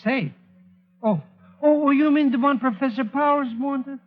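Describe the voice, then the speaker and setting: An actor playing an excitable, nervous male sidekick who frets and exclaims in a vintage radio drama. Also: extremely high pitch, a little slow, soft background music. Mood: puzzled. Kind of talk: 1940s radio acting. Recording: thin phone-like old radio recording.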